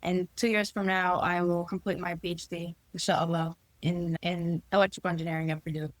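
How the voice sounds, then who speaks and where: Podcast guest, woman in her 20s from New Jersey, earnest and steady, dry recording. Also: on the low side, brisk, no room sound. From New Jersey, USA. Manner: earnest, lists achievements steadily. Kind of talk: casual interview talk.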